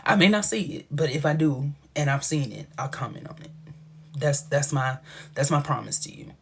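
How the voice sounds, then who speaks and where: A woman's voice: low-pitched, normal speed, creaky, a little hiss or hum. A woman in her thirties hosting a casual livestream, chatting in playful, affectionate slang with viewers and a guest. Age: 30s. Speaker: livestream host.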